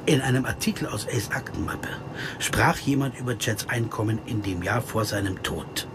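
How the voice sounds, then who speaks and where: An actor playing an insistent, searching amateur sleuth in a German radio crime drama, who also narrates. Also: male voice, fairly low pitch, moderate pace, noisy background, natural voice. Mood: pondering. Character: amateur sleuth Evan Horn, American man, insistent, searching. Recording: music behind.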